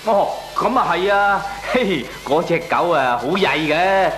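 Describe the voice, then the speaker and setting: An actor playing a shameless, glib dog owner in a radio comedy drama, arguing back with a Hong Kong Cantonese accent. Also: male voice, sits high, lively intonation, measured pace. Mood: defensive, dismissive. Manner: glib, chuckling, argues back with lame excuses. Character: shameless, jovial dog-owning male neighbour brushing off complaints.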